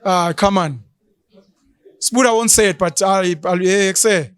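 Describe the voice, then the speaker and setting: Animated South African man, high voice. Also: a little slow, clear, resonant tone. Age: 30s. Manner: animated, mocking, slips into isiZulu. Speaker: podcast co-host. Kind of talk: opinionated casual chat.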